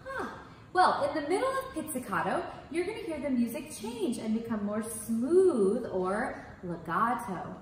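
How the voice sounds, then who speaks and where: Bright, enthusiastic woman music teacher. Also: high voice, big pitch swings, measured pace. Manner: enthusiastic, exclamatory. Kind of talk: teaching children. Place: studio in front of green screen. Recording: very echoey room, faint hiss.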